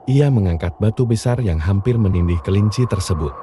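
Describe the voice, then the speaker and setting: A man narrating scripted children's bedtime stories with a dark, husky voice. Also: fairly low pitch, animated, fairly quick, background noise. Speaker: storyteller narrator.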